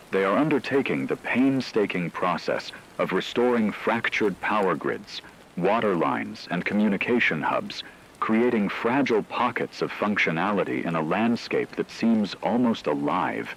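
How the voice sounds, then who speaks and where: A man playing a grave radio news anchor, reading scripted emergency bulletins in a measured, formal way. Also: low voice, vocal fry, fairly bright tone, a little hiss or hum, heavily acted, poor audio.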